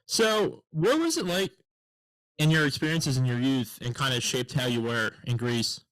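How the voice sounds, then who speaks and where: A man's voice: mid-range voice, lively intonation, moderate pace, a bit bright, no room sound, poor audio. A man hosting a casual podcast interview over a video call, easygoing and rambling, with a lot of "like" in his speech. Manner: easygoing, filler "like", rambling questions. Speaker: podcast host.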